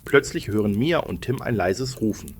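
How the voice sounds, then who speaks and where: A man's voice: lowish voice, expressive, normal speed, a little mellow, husky. A man narrating a scripted bedtime story for children, explaining things and addressing them directly.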